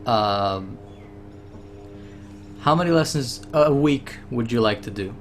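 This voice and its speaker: An Israeli man, a native Hebrew speaker, tutoring a new student on a video call, explaining patiently with slow restarts. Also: medium pitch, expressive, a little hiss or hum. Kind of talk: casual orientation call.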